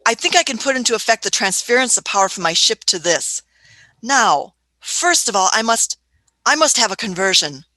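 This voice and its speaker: A woman's voice: on the high side, very animated, moderate pace, sharp tone, no room sound. A woman in a radio play acting an eccentric, imperious older time traveller who is fussy, hesitant and repeats her phrases. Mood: brisk, businesslike.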